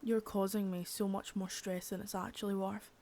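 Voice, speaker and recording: Young woman playing a contemptuous female drug-ring boss, quiet menace, cursing, part-whispered; medium pitch. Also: level tone, moderate pace, slight background noise, natural voice. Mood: cold contempt. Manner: menacing, swearing, soft with bits of whisper. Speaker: ASMR creator. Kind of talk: unscripted ASMR roleplay.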